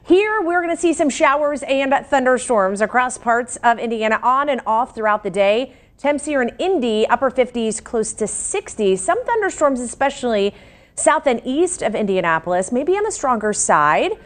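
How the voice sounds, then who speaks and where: A woman's voice: a little high, expressive, moderate pace. An American woman presenting news and weather as a television anchor.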